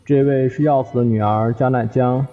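A man's voice: mid-range voice, fairly even intonation, normal speed, muffled recording, natural voice. Man acting courteous middle-aged host, music behind. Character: courteous, solemn middle-aged brother hosting family guests. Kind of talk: Chinese radio drama acting.

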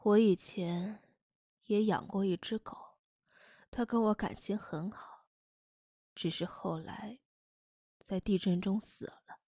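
A woman's voice: mid-range voice, slow, pauses often, dark tone, no echo, clean studio sound. A woman playing a polite, timid young woman in an audio drama, hesitant and apologetic. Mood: polite, subdued.

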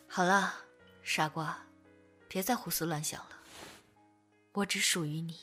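A woman's voice: on the low side, fairly even intonation, a little slow, bright, forceful tone, faint music behind. A woman acting in a Chinese audio drama as a tender, wounded young woman confessing a past love. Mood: tender reassuring.

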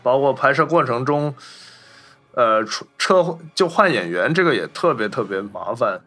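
A man's voice: mid-range voice, expressive, moderate pace, fairly bright tone, faint music behind. A man, talking casually about films as a podcast host. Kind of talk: casual film discussion.

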